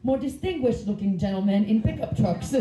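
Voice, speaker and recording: A woman's voice: mid-range voice, expressive, normal speed, reverberant, background noise. A woman, doing a rambling, giggly stand-up comedy set on a club stage. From Finland. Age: 30s. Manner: chatty, giggly, rambling asides.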